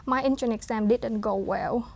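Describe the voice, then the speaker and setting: Woman, halting speech. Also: on the high side, creaky. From Vietnam. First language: Vietnamese. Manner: hesitant, many fillers and restarts, laughs softly. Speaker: interview guest. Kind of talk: casual interview talk.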